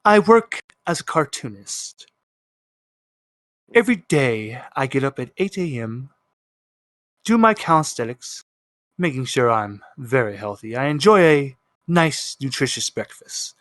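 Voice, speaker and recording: A man voicing a self-satisfied cartoonist in his twenties, giving a measured, precise, quietly menacing monologue in a tabletop game. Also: mid-range voice, animated, no room sound. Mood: prim, self-satisfied.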